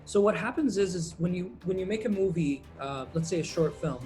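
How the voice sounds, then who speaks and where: A man's voice: sits high, brisk, faint music behind. Laid-back man, filmmaker guest. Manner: relaxed, rambling, many ums and uhs. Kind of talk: casual interview answers.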